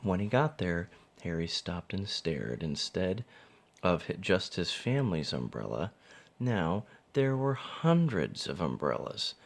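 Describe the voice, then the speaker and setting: A man reading a children's storybook aloud as narrator. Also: low-pitched. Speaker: father reading a picture book.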